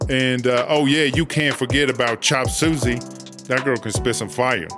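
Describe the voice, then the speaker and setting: A man's voice: mid-range voice, moderate pace, close to an everyday voice. A man playing a swaggering, streetwise female prison inmate in a comedy audio drama, cocky, slangy and offhand. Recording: loud music under the voice.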